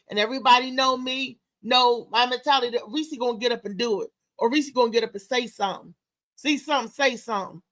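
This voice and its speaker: Casting: female comedian-host, high voice, sassy and slangy, casual morning-show banter. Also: fairly bright tone, low-quality recording. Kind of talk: casual live morning show chat, ranting.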